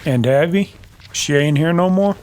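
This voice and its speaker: A man's voice: on the high side, moderate pace, a little mellow, voice pushed up, natural voice. A man playing a laconic, casual cowboy back from the trail in an old-time Western radio drama. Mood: probing.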